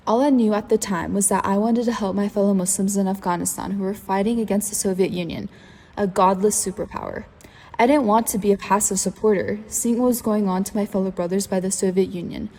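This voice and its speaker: Woman playing a reflective young man, mellow earnest storybook read, reverberant recording. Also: mid-range voice, close to an everyday voice. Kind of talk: scripted first-person narration. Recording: long reverb.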